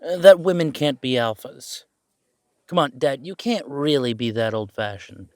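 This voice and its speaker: A sardonic, rebellious young werewolf son, played by a man in an audio drama. His medium-pitched male voice is wry and skeptical, recorded dry with no room sound. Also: lively intonation, moderate pace, dry recording, lightly acted. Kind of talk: audio roleplay drama acting.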